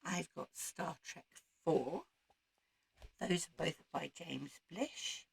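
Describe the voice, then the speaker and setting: A woman with a croaky voice, recovering from voice loss, chatting casually to camera as the host of a book channel. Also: fairly low pitch, lively intonation, slow. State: croaky, recovering from losing her voice. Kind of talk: casual chat to camera about reading plans.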